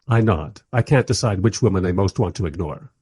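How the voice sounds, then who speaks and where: A man's voice: low-pitched, animated, brisk, a little mellow, breathy edge, dry recording. A man playing a wry, weary filmmaker who narrates a radio play in a dry, sardonic way. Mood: dry exasperation.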